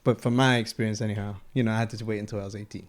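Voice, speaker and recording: A young man from Colombia, chatting casually as an interviewee, relaxed and rambling with frequent laughs. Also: lowish voice, rapid-fire, a crowd in the background.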